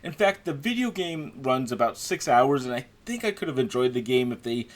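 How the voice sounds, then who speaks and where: A man's voice: mid-range voice, very animated, moderate pace. A man giving conversational, opinionated video review commentary as a game reviewer, leaning emphatically on key words.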